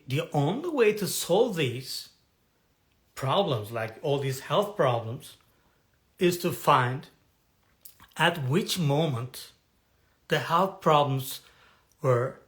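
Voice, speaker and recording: A man coaching an online audience in personal development, talking in a halting way with frequent restarts as he searches for words. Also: on the high side, expressive. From has lived in Turkey for decades. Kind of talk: live talk to an online audience.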